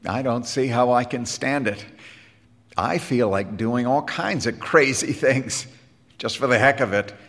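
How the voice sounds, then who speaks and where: A man voicing an earnest, well-meaning, idealistic music teacher in an audiobook, gentle and a little rhapsodic. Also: medium pitch, unhurried, big-room sound. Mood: confiding. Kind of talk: audiobook character dialogue.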